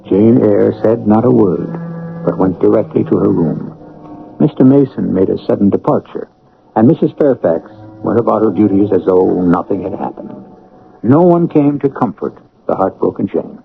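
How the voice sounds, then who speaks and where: Gravelly, low-voiced male radio mystery host. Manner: ominous, deliberate. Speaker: radio drama host and narrator. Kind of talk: theatrical scripted gothic narration. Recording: narrow, tinny old radio broadcast. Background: loud dramatic music.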